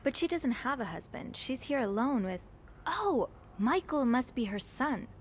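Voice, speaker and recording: An actress playing an earnest, polite young maid in a 1950s hotel mystery game, with an inquisitive manner. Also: female voice, sits high, expressive, unhurried, slight background noise. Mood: intrigued. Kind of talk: video game cutscene acting.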